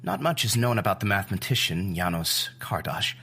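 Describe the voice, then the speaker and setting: Husky, halting scientist. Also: sits low, bright, forceful tone, exaggerated voice. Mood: measured, slightly amused. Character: hesitant, mild scientific expert briefing agents. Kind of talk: audio drama acting, expository briefing.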